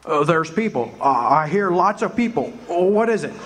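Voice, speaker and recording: A man's voice: on the high side, moderate pace, slight background noise. A man playing an overjoyed George Bailey. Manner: excited, breathless joy. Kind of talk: live community radio play acting.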